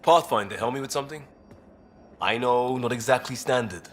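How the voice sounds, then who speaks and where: A man's voice: medium pitch, normal speed, noisy background, close to an everyday voice. A man playing an easygoing, blunt former cop turned space rescuer in a video game, talking casually and candidly. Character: easygoing, blunt ex-cop human crisis responder, male.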